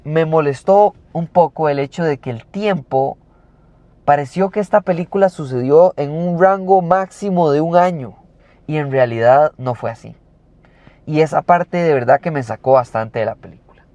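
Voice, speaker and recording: A man's voice: fairly high pitch, moderate pace, warm tone, no echo, highs cut off. Emphatic Costa Rican man reviewing films. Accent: Costa Rican Spanish. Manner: emphatic, rhetorical questions to the viewer. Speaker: film reviewer. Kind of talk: opinionated film review.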